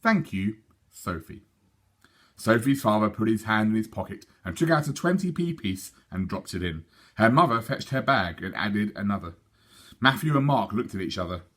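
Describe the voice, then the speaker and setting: A man reading a children's storybook aloud in an expressive narrating style. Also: on the low side, fairly quick.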